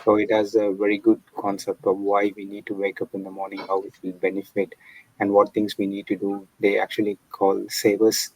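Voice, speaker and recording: Guy explaining stuff methodically, fairly deep, very even tone. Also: fairly quick, husky, poor audio. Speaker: panel guest. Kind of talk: explanatory chat.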